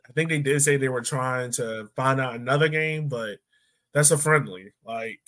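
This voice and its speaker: A man's voice: mid-range voice, normal speed, fairly bright tone. A man co-hosting a sports podcast in a casual, laid-back way with hesitant phrasing. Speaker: soccer podcast co-host.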